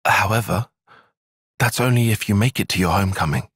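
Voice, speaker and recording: A man playing a cerebral, earnest young soldier and strategist in a video game, speaking in precise, formal, analytical language and quick to exasperation. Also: fairly low pitch, a bit bright, husky, no echo, studio quality. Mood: measured, analytical. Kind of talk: video game cutscene voice acting.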